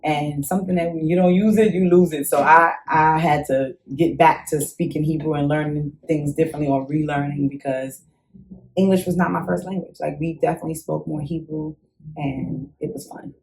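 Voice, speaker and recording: A young woman, a school teacher, telling childhood memories in a relaxed interview, animated and quick to laugh. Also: low voice, a little hiss or hum, poor audio. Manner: animated, laughs often, acts out remembered reactions. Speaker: interview guest. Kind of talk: casual conversational storytelling.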